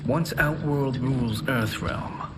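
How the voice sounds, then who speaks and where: A man playing a smooth, scheming sorcerer in a video game cutscene, speaking formally and persuasively. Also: medium pitch, slow pace, noisy background, natural voice. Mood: confident, grandiose. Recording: loud cinematic music over dialogue.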